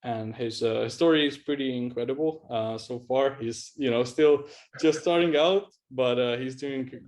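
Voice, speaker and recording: Casual male interview host, halting delivery. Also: medium pitch, darkish tone. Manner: halting, repeats words, many "you know". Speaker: host, agency coach interviewing a student.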